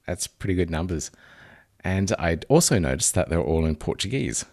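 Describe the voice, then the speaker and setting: A man hosts a podcast interview and asks his questions tentatively. His voice sits very low. In between he gives short affirming replies. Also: sing-song, normal speed, vocal fry, a little mellow. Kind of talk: interview conversation.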